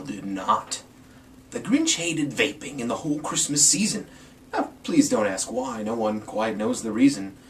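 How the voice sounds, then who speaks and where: A man giving a playful, theatrical dramatic reading of a rhyming Christmas story as the storyteller. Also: medium pitch. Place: room with a green screen. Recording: distant mic, some background noise.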